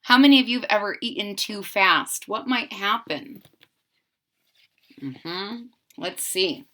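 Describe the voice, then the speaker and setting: A woman reading a children's picture book aloud in an expressive, sing-song manner, speaking directly to young listeners. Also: medium pitch, takes it slowly.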